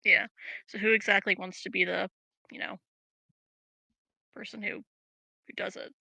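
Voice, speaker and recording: Young female player, very bright and gravelly voice, dry sound, chatty. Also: medium pitch, level tone, normal speed, dry recording, rough recording. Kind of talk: casual chat around a tabletop game.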